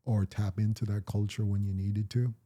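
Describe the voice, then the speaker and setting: A middle-aged Jamaican man speaking in a very dark, mellow tone. His voice sits a little low, and he tells his stories in a measured, reflective way. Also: little pitch movement. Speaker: interviewee, parent. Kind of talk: casual conversation.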